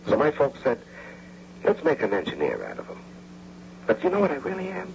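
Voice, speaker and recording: A man's voice: mid-range voice, wildly swooping pitch, a little fast, gravelly, a little mellow. A man acting in a radio drama as a scheming, bitter husband, insistent and persuasive, repeating himself for emphasis. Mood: smug, domestic. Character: scheming, bitter, persuasive husband and electrical engineer turned would-be robber. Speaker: actor narrating and playing the lead. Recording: muffled, noisy old radio broadcast.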